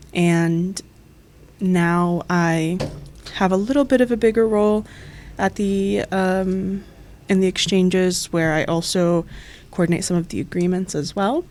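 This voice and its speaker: A woman's voice: lowish voice, a little mellow, a little hiss or hum. A young woman from Florida, an exchange coordinator, explaining her job in friendly detail in an interview. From Florida, USA. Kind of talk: interview answers.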